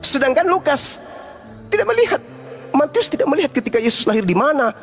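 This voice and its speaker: Male preacher, high voice, combative debater firing rhetorical questions, faint music underneath. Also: sing-song, fairly quick. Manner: forceful, rhetorical questions, swings from low to loud emphasis. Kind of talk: heated religious debate.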